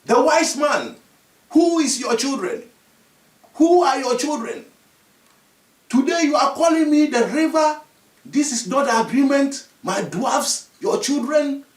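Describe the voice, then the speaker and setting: A man's voice: extremely high pitch, animated, unhurried, echoey room, recorded from a distance. A man doing a stern river spirit. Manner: stern, scolding, issuing orders. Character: commanding river spirit, a hidden youth posing.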